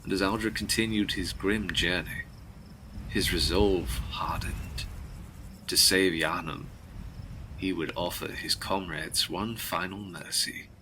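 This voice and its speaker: Deep, intense male gothic narrator. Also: fairly even intonation, sharp tone, slight background noise, low-quality recording. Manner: solemn, deliberate, theatrical. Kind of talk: dramatic scripted gothic narration.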